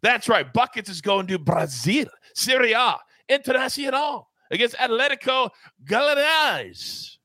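Male betting-show host, high-energy and showy, crisp bright tone, dead-dry studio sound. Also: very animated, unhurried, no room sound. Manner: hyped, showy, playful swings in pitch. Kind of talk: sports betting show hosting.

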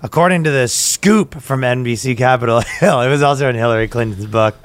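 A man's voice: medium pitch, brisk, clear, resonant tone, no echo. A man hosting a comedy podcast, riffing crude jokes in casual chat. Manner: crude, joking, riffing into bits.

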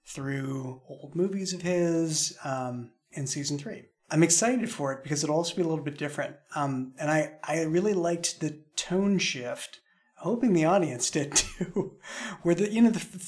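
Higher-voiced man chatting about his show. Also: animated, normal speed, a little mellow. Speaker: show creator and producer. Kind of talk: casual chat in a behind-the-scenes podcast.

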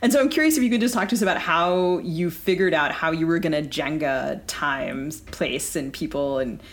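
Low-voiced woman podcast interviewer. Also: moderate pace. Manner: long, chatty, winding questions. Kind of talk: casual literary interview.

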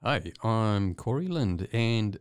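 A man's voice: on the low side, animated, takes it slowly, clear, resonant tone, no room sound, studio quality. A man with a New Zealand accent chatting casually as an interview guest. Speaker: guest, visiting artist.

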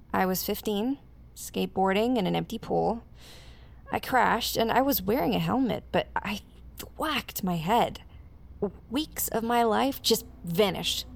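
A woman's voice: medium pitch, expressive, unhurried, creaky, long pauses. An actress playing a frank, playful woman in her twenties in naturalistic audio drama dialogue. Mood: animated storytelling. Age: 20s. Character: frank, quirky twenty-eight-year-old woman, a drummer.

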